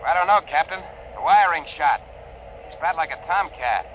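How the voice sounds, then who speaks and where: An actor playing a tough spaceship power-room mechanic, giving terse, slangy reports in 1950s radio drama style. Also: male voice, fairly high pitch, lively intonation, normal speed, lo-fi sound, a little hiss or hum, lightly acted. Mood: strained, terse. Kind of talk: 1950s science fiction radio acting.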